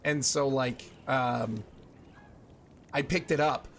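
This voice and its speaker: A man's voice: medium pitch, steady tone, takes it slowly, vocal fry, a bit bright, a little hiss or hum. A young man streaming, chatting casually with his viewers and thinking aloud with lots of restarts and fillers.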